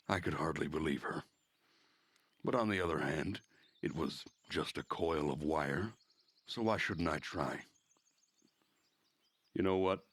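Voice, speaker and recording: Male game streamer as narrator: deep, slow delivery, close dry recording, wry offhand asides. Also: no room sound. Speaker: streamer reading a visual novel aloud. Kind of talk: dramatic reading with casual asides.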